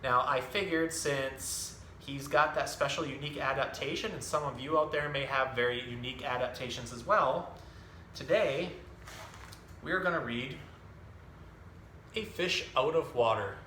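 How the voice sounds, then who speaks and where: A man's voice: mid-range voice, unhurried, pushed and bright. A man hosting an educational animal storytime, explaining and reading simply for children. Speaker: zookeeper and storytime host. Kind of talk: casual animal education talk and children's picture book reading. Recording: roomy echo with some background noise.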